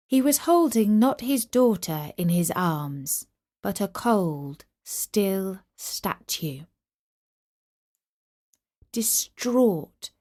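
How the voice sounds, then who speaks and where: A woman narrating a scripted children's story as its host, expressive and carefully phrased. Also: fairly low pitch.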